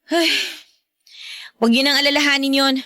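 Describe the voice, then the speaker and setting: Earnest, bright-voiced Filipina girl. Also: sits high, fairly even intonation, normal speed, no room sound, clean studio sound. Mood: sympathetic. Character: hurt but forgiving young stepdaughter, earnest girl. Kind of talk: Filipino radio drama acting.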